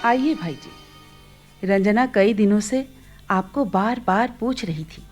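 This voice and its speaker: A woman's voice: mid-range voice, slow, darkish tone, faint music behind, lightly acted. An actress playing an anxious, grateful mother in a radio play, polite and earnest. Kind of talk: Hindi radio play acting.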